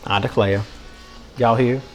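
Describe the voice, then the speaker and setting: A man putting on an American Southern country accent as a goofy, excitable countryman, rambling casually to a livestream chat in a folksy drawl. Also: mid-range voice, a little slow, faint music behind, pitched below the performer's own voice, lightly acted. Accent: put-on American country, Southern. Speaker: live streamer cooking for chat.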